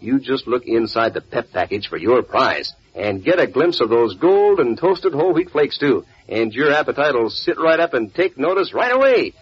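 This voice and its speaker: A man's voice: medium pitch, very animated, normal speed, hardly any pauses, slightly rough. A man delivering a 1940s radio advertisement, folksy and eager, talking to children. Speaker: commercial pitchman. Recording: muffled vintage radio, faint music.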